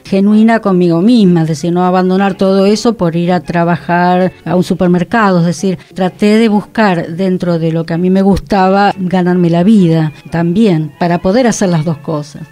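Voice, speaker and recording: A woman's voice: fairly low pitch, fairly even intonation, normal speed, few pauses, dark tone. A woman with a Rioplatense Argentine accent hosting a casual radio chat in a studio. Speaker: radio host. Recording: music playing behind the voice. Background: music.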